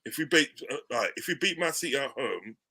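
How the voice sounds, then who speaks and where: A man talking football on a podcast in an animated, casual way, often checking in with "you know what I mean". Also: mid-range voice, a little fast, vocal fry, husky. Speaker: podcast panelist, football fan.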